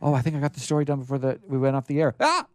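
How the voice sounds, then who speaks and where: A man's voice: medium pitch, very animated, very fast, a little mellow. A man hosting a radio show, reading a story aloud live in a casual way and stumbling now and then into asides.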